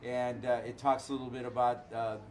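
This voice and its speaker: A hoarse middle-aged man, a winemaker, talking casually and haltingly with many filler uhs. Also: medium pitch, level tone, darkish tone, a little hiss or hum. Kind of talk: casual chat.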